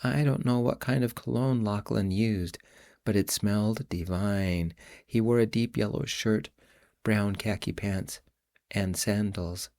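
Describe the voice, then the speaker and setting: A man reading his own novel aloud in a measured, reflective first-person storytelling voice. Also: fairly low pitch, darkish tone. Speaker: audiobook narrator and author. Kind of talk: first-person audiobook narration.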